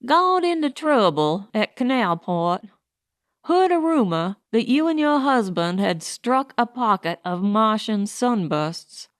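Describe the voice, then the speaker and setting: A woman narrator plays a fat male crook in a pulp space audiobook. He is talkative, grumbling and resigned, heard in a clear, dry voice. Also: on the high side, sing-song, slow, no room sound. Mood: matter-of-fact. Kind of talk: audiobook character voice.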